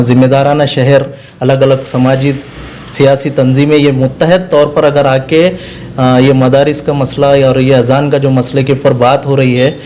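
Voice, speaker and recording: A man's voice: fairly high pitch, darkish tone, slight background noise. A man giving earnest, emphatic political answers in an interview, repeating phrases for stress. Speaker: political party spokesman, interview guest.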